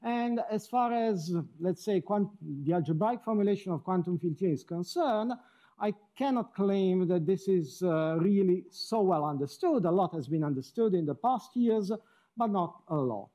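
A middle-aged man lectures in a hall, his voice pitched fairly high yet soft and mellow in tone. He is wry and self-deprecating, and often stops to rephrase mid-sentence as he works through a seminar. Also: expressive, normal speed. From Switzerland. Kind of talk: academic seminar talk.

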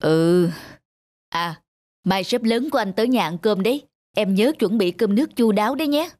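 An actor playing a patient young husband in a cartoon, reasoning and placating, with a northern Vietnamese accent. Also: male voice, high-pitched, expressive, moderate pace, a little mellow, dry recording. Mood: casual. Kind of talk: animated moral-tale dialogue.

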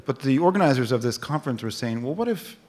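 A man in his forties moderating a stage conversation at a book festival, asking curious, halting questions into a stand mic. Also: mid-range voice, animated, a little mellow. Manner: halting, many fillers and restarts, curious. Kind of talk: onstage literary conversation. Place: theater stage at a book festival, live audience.

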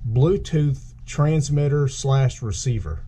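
Male gear reviewer, relaxed mellow tone, casual plain-spoken demo, thinking aloud, audible noise behind the voice. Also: medium pitch, takes it slowly. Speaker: gear reviewer, video host. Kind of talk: casual unscripted product demonstration. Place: small room indoors at a tabletop. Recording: clearly audible background noise.

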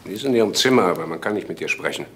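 An actor playing an impatient, insistent grown son in a radio crime drama. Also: male voice, deep voice, expressive, very fast, a little hiss or hum.

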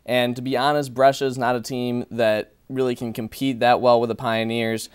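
A man's voice: mid-range voice, flat delivery, normal speed, clean tone, no echo. A young man working as a sports analyst in a small TV studio, breaking down games and players confidently and fluently. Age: young adult.

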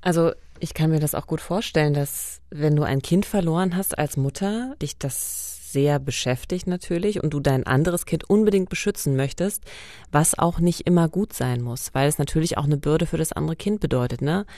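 A woman's voice: low voice, normal speed, dark tone, dry recording, studio quality. A woman co-hosting a true-crime podcast in a conversational, narrating style.

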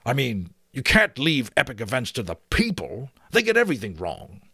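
A man's voice: medium pitch, huge pitch swings, normal speed, vocal fry, pushed and bright, husky, no room sound, clean studio sound, exaggerated voice. A man voicing a vain, wistful male outlaw captain in a storybook reading, boastful and sighing by turns. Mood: defensive.

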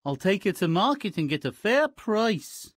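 A woman's voice: mid-range voice, very animated, measured pace, slightly rough, no echo, studio quality. A woman voicing a lazy, cheeky young peasant boy in a fairy-tale video game, with a nonchalant manner. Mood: eager. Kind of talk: video game character acting.

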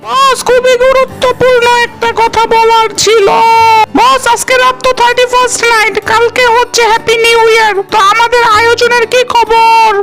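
A woman's voice: extremely high pitch, flat delivery, moderate pace, poor audio. A woman voicing a small cartoon henchman who reports deferentially to a gangster boss. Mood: dutiful reporting. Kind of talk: cartoon voice acting.

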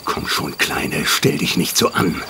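An actor playing a smooth, insinuating male stranger, a sly racing spy, in a German radio play. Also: a bass voice, measured pace, bright, forceful tone, slight background noise, heavily acted. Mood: coaxing. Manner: glib, polite, coaxing.

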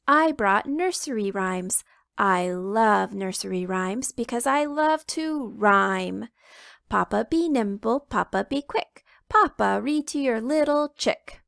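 A woman voicing an excitable little chicken in a picture book read aloud, squealing and sing-song. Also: fairly high pitch, slow, vocal fry, no echo, clean studio sound, heavily acted. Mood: excited. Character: excitable little girl chicken, chatty and pushy.

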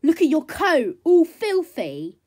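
A woman's voice: high voice, wildly swooping pitch, slow pace, darkish tone, voice pushed up, full character voice. A woman voicing an outspoken, protective mare in a horse story, with a blunt, sarcastic, scolding manner. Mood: mocking.

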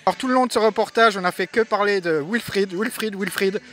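A man's voice: sits high, fairly quick, a bit bright. A man reporting from a trail race outdoors. He chats cheerfully with runners and organizers, with the sound of a camera mic. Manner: chatty, upbeat, teasing runners. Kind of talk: casual on-the-spot reporting.

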